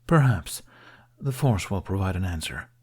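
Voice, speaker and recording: A man voicing a seasoned, dryly formal Jedi master with a measured manner in an audiobook. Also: low-pitched, lively intonation, slightly rough. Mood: calm, assured. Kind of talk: audiobook character dialogue.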